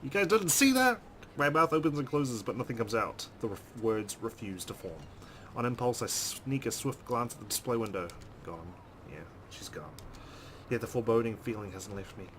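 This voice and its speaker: A man's voice: medium pitch, lively intonation, normal speed, fairly bright tone, a little hiss or hum. A man hosting a let's play, reading story text dramatically and adding casual commentary.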